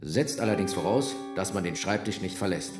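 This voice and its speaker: An actor playing a matter-of-fact government office employee, soberly explaining procedures in a radio drama monologue. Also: male voice, low-pitched, lively intonation, brisk. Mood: sober, explanatory. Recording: roomy echo under loud guitar music.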